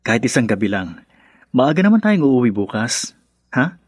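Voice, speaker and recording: A young Filipino husband and younger son in a radio drama. He is coaxing and hesitant, stumbling gently as he tries to keep the peace. The sound is dry, with a middle-pitched voice. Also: very animated, normal speed, husky, no echo.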